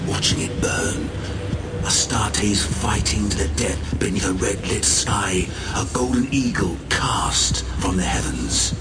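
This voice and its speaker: Music plays clearly behind a man narrating a dramatic audiobook. His voice sits a little low. He reads scripted fiction in a grave, theatrical way, with real intensity.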